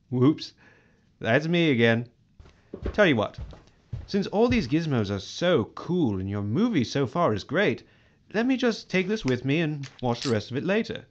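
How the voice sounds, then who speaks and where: An actor playing a pompous, self-absorbed boss in an audio drama, grandiose and breezily dismissive. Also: male voice, fairly high pitch, highs cut off, slight background noise. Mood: jovial, dismissive.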